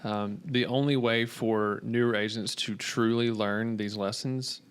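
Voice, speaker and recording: An American man speaking as a podcast guest, explaining in a measured conversational way with hesitant pauses. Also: on the low side, steady tone, clean tone. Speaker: mortgage lender guest.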